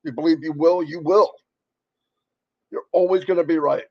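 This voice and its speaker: A man with a crackly voice presenting on a live stream, giving a rambling, folksy motivational pitch and repeating points for emphasis. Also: fairly even intonation, moderate pace, no echo, lo-fi sound.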